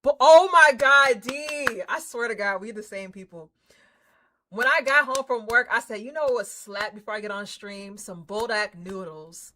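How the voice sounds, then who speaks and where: A woman livestreamer chatting casually with her viewers in an excitable, slangy, informal way. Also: on the high side, normal speed, slight background noise. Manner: excitable, hyped, effusive; slangy, informal, drops swearing in. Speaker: livestreamer hosting a game stream.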